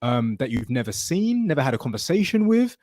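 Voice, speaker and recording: A British man speaks as a podcast host in a casual, opinionated style. His voice sits at an average male pitch and is recorded dry and close. He is emphatic and slangy, and he swears freely. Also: sing-song, brisk, darkish tone, no echo. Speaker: military veteran.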